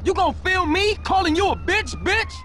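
A man's voice: extremely high pitch, lively intonation, normal speed, exaggerated voice. A man voicing a defiant, foul-mouthed young American street criminal in a video game. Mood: furious. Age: young adult. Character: defiant young male street criminal hurling insults at police. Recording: game audio under loud sirens and traffic.

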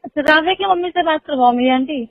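A woman's voice: high-pitched, quick pace. A young woman on a recorded phone call, talking hesitantly and repeating herself. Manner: hesitant, repetitive. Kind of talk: private phone conversation.

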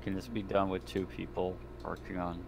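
A low-voiced man chats as a player in an online roleplaying game. He is blunt, sardonic and sweary, over faint background noise. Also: monotone, moderate pace, creaky. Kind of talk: casual in-character game chat. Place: indoors on an online voice call.

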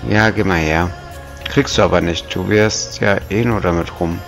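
A man's voice: deep voice, fairly even intonation, normal speed, fairly bright tone, soft background music. A man with a cold, making wry, joking asides as he comments on a video game. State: has a cold. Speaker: let's play commentator. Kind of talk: casual gameplay commentary.

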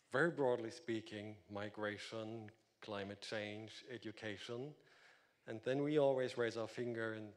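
A middle-aged man, a government official, speaking matter-of-factly on a conference panel into a handheld mic. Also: medium pitch. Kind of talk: panel discussion.

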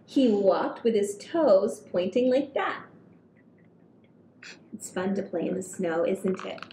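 A woman in her thirties reading a picture book aloud to small children as a story hour host, with expressive, drawn-out phrasing. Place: small indoor room at a table. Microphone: headset. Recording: roomy echo, low rumbling noise.

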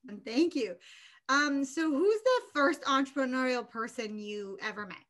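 A woman's voice: high-pitched, expressive, moderate pace, a bit bright. A woman in her thirties hosting a casual interview over a video call, friendly and hesitant with many fillers, recorded on a laptop webcam at home. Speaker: host. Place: home room with wood ceiling.

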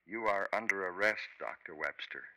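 A man acting a grave, restrained police officer, measured and formal, in a 1950s radio drama. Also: deep voice, pushed and bright, no room sound, soft background music, full character voice, rough recording. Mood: grave, firm. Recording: vintage 1950s radio recording.

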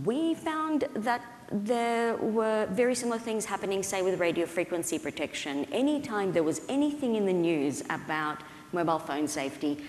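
A woman in her thirties giving an explanatory, conversational conference keynote, with halting, hesitant phrasing. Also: lowish voice, a little mellow, slight background noise. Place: indoor stage at a conference, at a podium. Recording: reverberant hall sound.

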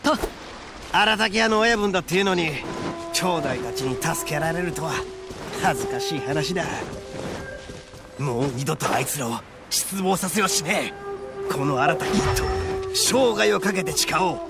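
An actor playing a brash, hot-blooded young oni gang boss in a Japanese video game, with gravelly, rough, boastful speech. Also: male voice, on the high side, expressive, moderate pace, loud music behind the voice. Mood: resolute.

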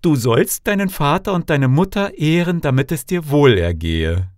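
A man's voice: on the high side, animated, moderate pace, dry recording, studio quality, pitched above the performer's own voice, natural voice. A man voicing a despairing young princess, wailing and blaming herself, in a fairy tale audiobook. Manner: wailing, self-reproaching. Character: despairing young princess lamenting her bitter fate.

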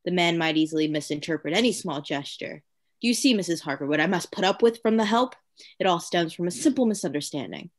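A woman's voice: fairly low pitch, lively intonation, quick pace. A woman playing a tormented, obsessive asylum lunatic in a radio play, with formal, theatrical diction. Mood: defensive, genteel. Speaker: actor.

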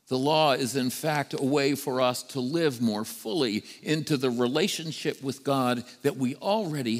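A middle-aged man preaching a sermon as a priest, with deliberate phrasing and emphatic repetition. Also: a little high. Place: church sanctuary, standing before the altar. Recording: reverberant church hall.

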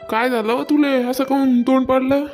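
A woman's voice: high voice, steady tone, normal speed, darkish tone, faint music behind, lightly acted. A woman voicing an easygoing, food-loving village husband in a cartoon comedy, speaking casually with a rural Maharashtrian accent. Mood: dismissive. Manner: casual, colloquial, placating. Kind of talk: amateur cartoon comedy dialogue.

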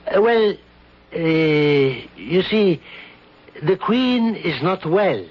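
An actor in a 1970s radio drama playing an evasive foreign court official who hesitates and hedges. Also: male voice, high-pitched, animated, extremely slow, exaggerated voice. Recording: dull 1970s radio broadcast.

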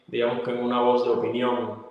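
This young man speaks in a Cuban accent, fluent and storytelling, with fillers between thoughts. His voice rings out in a bare, reverberant room with a long tail. The pitch sits at an ordinary middle for a man. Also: monotone, brisk, lo-fi sound. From Cuba. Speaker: guest, actor and model. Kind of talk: casual interview answers. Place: indoor room, plain wall. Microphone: video call.

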